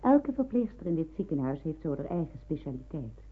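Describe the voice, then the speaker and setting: A woman acting a strict, brisk hospital matron in an old radio play, formal and emphatic. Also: low-pitched, sing-song, slightly rough, heavily acted. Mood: brisk, authoritative. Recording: narrow-band old radio recording.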